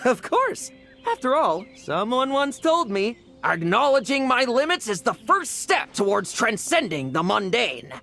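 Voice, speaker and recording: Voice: medium pitch, very animated, measured pace, creaky, pushed and bright, faint music behind, full character voice. An actor playing a cocky, wry young knight in a video game, with a sardonic, nonchalant delivery. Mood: playful, determined. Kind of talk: dramatic game voice acting.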